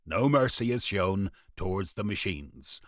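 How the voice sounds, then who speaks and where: A man narrating a scripted radio play in a dramatic, deliberate storytelling manner. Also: low-pitched, expressive, fairly bright tone.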